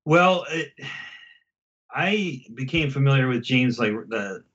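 A man's voice: mid-range voice, lively intonation, slow pace, fairly bright tone. A middle-aged American man chatting casually as a podcast guest, in a rambling, conversational way. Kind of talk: casual chat, reminiscing. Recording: slightly dull, lo-fi connection.

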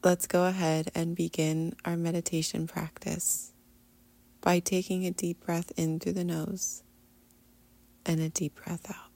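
A woman leading a guided meditation in a soothing, unhurried manner, often trailing into a breathy near-whisper. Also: fairly low pitch, little pitch movement, dark tone. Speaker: guided meditation teacher, podcast host. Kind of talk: guided meditation, soft instruction.

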